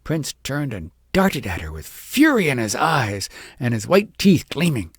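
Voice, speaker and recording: A man with a husky, gravelly voice reading an audiobook story in a measured, expressive storytelling manner. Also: mid-range voice, no echo, clean studio sound. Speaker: audiobook reader. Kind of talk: scripted storybook narration.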